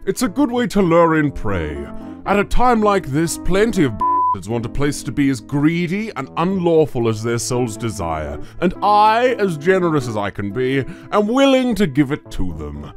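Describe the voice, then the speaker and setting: A melodramatic demon lord running a casino, with a fairly high voice that swings wildly in pitch. He is grandiose and menacing but easily rattled, in a cartoon comic dub. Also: huge pitch swings, normal speed, music in the background. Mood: sly, grandiose.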